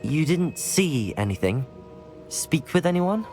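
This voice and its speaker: A man's voice: a little high, sing-song, takes it slowly, darkish tone, heavily acted. An actor playing an earnest, polite young male wizard student in a video game cutscene. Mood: concerned. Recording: music under the voice. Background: music.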